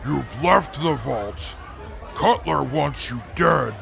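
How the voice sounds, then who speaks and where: An actor playing a calm, formal male companion in an audio drama. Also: on the high side, vocal fry, a crowd in the background, full character voice. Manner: formal, measured.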